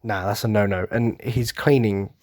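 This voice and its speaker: A young man chats on his podcast, his voice hoarse and worn as if he is losing it. He talks low-pitched and rambling, bubbling with enthusiasm and stumbling through restarts. The sound is close and dry. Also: fairly even intonation, moderate pace, darkish tone, no room sound. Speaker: podcast host. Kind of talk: casual solo podcast chat.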